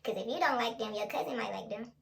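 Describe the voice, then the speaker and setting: A woman's voice: on the high side, quick pace, creaky, a bit bright. A young woman vlogger talking casually in a playful, slangy way, as in an ASMR role play.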